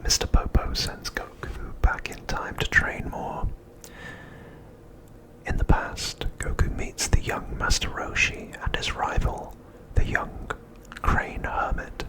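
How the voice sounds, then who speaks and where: A man's voice: slow pace, poor audio. Whispering man, ASMR narration. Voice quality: breathy, unvoiced whisper. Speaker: ASMR host.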